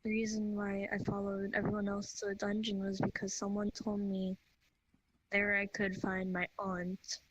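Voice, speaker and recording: A woman's voice: mid-range voice, monotone, moderate pace, a little hiss or hum, close to an everyday voice, low-quality recording. A woman playing an earnest young werewolf in improvised roleplay, with a plain, understated delivery. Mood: earnest, subdued. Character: young female werewolf, earnest, searching for family. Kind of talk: improvised virtual-reality roleplay.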